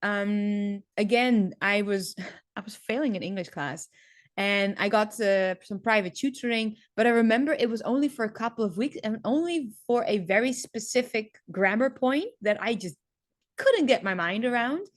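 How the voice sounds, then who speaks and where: A woman's voice: mid-range voice, moderate pace, no echo. Chatty woman teacher, dry close sound. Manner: chatty, loose, with frequent "like" and "um". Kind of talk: casual reflective monologue.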